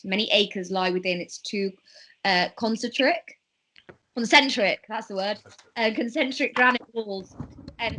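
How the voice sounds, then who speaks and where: A woman's voice: mid-range voice, fairly bright tone, poor audio. A woman narrating a table read, reading stage directions aloud and at times stumbling over words.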